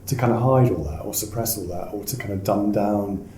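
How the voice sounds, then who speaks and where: Mellow man in an echoey room. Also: lowish voice, brisk. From South Wales. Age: 50s. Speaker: podcast guest, family lawyer. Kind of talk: casual anecdotal interview. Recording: echoey room with faint noise.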